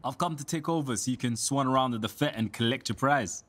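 An actor playing a stubborn, flippant man in a radio soap, with a husky voice. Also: medium pitch, quick pace, a bit bright, slight background noise, lightly acted.

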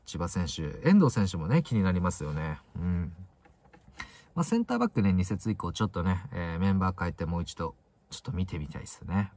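Deep-voiced young man, animated pitch swings. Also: fairly quick. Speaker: football fan vlogger. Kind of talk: casual solo football commentary. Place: inside a parked car.

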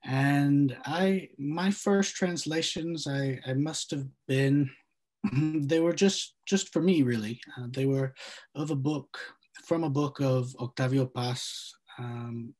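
A man speaks slowly and thoughtfully on a video call, taking his time as he answers. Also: medium pitch, a little mellow. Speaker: panelist translator. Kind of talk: panel discussion on video call.

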